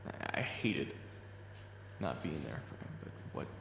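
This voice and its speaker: A man's voice: very deep voice, sing-song, unhurried, vocal fry, noisy background, exaggerated voice. A man playing a weary, protective family man with a criminal past in an audio drama, both narrating and speaking in a hesitant way. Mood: brooding. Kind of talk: audio roleplay acting with first-person narration.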